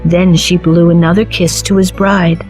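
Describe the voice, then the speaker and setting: A woman reading a children's storybook aloud in a slow, clear and even narration. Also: on the low side, faint music behind, poor audio.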